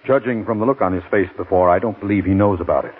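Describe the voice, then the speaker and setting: A man's voice: lowish voice, quick pace, slightly rough, phone-quality sound. An American actor playing a proud, bitter politician jilted by his fiancée, speaking stiffly and formally in 1940s radio style. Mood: wounded, bitter. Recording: thin, band-limited 1940s radio recording.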